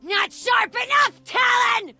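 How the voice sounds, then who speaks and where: A woman voicing a blood-hungry young female warrior for a video game, playful and prone to frenzied shouts. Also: very high-pitched, takes it slowly, gravelly, over music, heavily acted.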